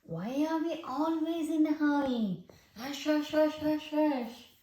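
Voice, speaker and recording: A person reading a children's picture book aloud with slow, deliberate, expressive phrasing in an echoey room. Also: sits high. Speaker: storybook reader. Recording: roomy, echoey sound.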